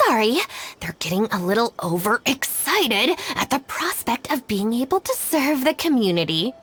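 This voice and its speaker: A woman's voice: fairly high pitch, big pitch swings, unhurried, a bit bright, slightly rough, full character voice. An actress playing a stern, exasperated young woman in a video game, with a curt, scolding, no-nonsense manner. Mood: apologetic, assuring.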